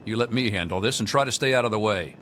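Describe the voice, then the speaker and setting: An actor playing a stern but kindly security officer, authoritative and folksy, in a video game. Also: male voice, fairly low pitch, fast, fairly bright tone, a little hiss or hum, lightly acted. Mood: concerned, indignant.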